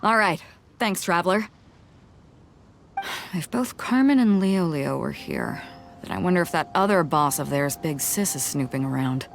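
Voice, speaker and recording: A woman's voice: sits low, animated, moderate pace, creaky, slight background noise. An actress playing a bold, blunt female ship captain in a video game, confident and commanding.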